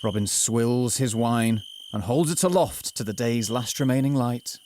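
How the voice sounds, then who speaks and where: A man's voice: medium pitch, a little slow, a little mellow, a little hiss or hum, natural voice. A man narrating a scripted comic audio drama in an overblown, literary, mock-dramatic way.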